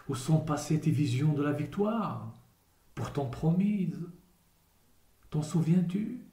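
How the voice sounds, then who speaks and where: A man reciting literary poetry solemnly, with measured, declamatory phrasing and deliberate pauses. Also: medium pitch, gravelly, mellow. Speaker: poetry reader. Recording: roomy echo around the voice.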